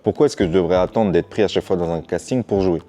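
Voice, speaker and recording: A man's voice: low voice, fast talker, dark tone. A man speaking as a podcast guest, explaining things in an informal, conversational way. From France, Paris suburbs, Martinican family. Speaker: podcast guest, financial educator. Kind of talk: casual interview, recounting his path.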